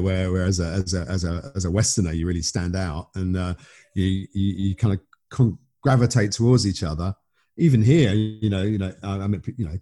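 Chatty man, dark husky voice. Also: on the low side. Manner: chatty, self-mocking, stammering restarts. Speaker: comedian and actor, host. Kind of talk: casual interview chat.

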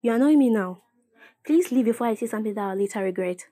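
A woman acting a sharp-tongued young woman in love in an audio play, with a Ghanaian accent. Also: a little high, animated, fast talker, no room sound. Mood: irritated. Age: young adult. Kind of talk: dramatic dialogue in an audio play.

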